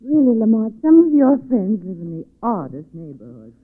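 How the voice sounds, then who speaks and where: A woman's voice: fairly high pitch, very animated, normal speed. A woman playing an elegant, teasing companion of the hero in a 1940s radio drama. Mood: playful banter. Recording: thin, tinny 1940s radio recording.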